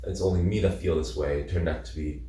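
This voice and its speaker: A man directing a film, speaking softly and reflectively with many fillers in a casual interview. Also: deep voice, steady tone, brisk. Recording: echoey room, distant mic, faint noise.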